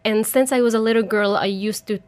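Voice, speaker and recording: A woman's voice: medium pitch, steady tone, brisk. A female soprano singer from Egypt, a guest on a radio show, talking about her background in a friendly, slightly shy way. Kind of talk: casual interview.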